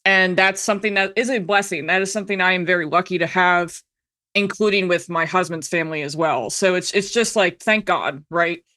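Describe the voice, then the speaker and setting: A woman's voice: lowish voice, a little fast, pushed and bright. An American woman in her thirties telling personal stories casually as a podcast guest, candid and emphatic, often acting out quotes. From United States. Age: 30s. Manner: candid, chatty, emphatic, self-quoting. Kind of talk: casual personal storytelling in conversation.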